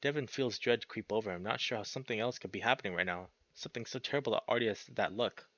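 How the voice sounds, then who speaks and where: A man reading a visual novel aloud as expressive narration, breaking off into casual, sweary, slangy reactions. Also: lowish voice, fast talker, dry recording, lo-fi sound. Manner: animated, sweary, slangy interjections.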